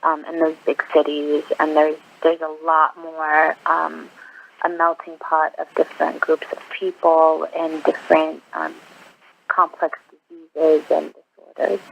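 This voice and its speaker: A woman's voice: low voice, monotone, a little slow, creaky, slight background noise. A woman in her twenties, from Iraq, answering interview questions over the phone in an earnest, hesitant way with many ums. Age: 20s. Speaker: interview guest, nurse. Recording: muffled lo-fi phone line.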